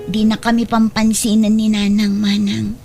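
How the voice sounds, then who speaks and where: A woman's voice: mid-range voice, level tone, moderate pace, vocal fry, warm tone, soft background music, lightly acted. A woman with an Ilocano Filipino accent playing a young married younger sister, hesitant yet plain-spoken, in an audio drama. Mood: hesitant, wistful. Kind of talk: heartfelt audio drama acting.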